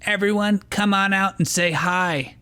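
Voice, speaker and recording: A man's voice: sits high, fairly even intonation, unhurried, bright, forceful tone, smooth, voice pushed up. A man voicing a smug young hero who is dismissive and irritable with his foes, in a story reading. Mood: irritated.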